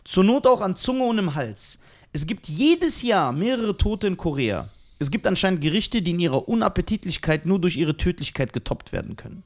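A man's voice: high-pitched, sing-song, normal speed. A man from Iran co-hosting a casual podcast chat, reading entries from a list aloud with mock drama. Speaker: podcast co-host.

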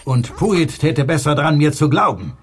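An actor playing a gruff, stubborn old man with a husky voice and an insistent, indignant manner in an audio drama. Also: on the high side, very animated, moderate pace. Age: older.

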